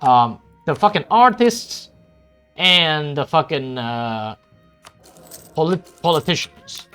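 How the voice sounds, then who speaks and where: A man whose first language is Cantonese, talking loosely while he streams a game. His voice sits a little high and swings up and down a lot, and he stops and restarts often, with swearing thrown in. Faint music plays underneath. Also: lively intonation, bright, forceful tone, clean tone. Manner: rambling, halting, many restarts and swearing. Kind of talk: casual chat while streaming a strategy game.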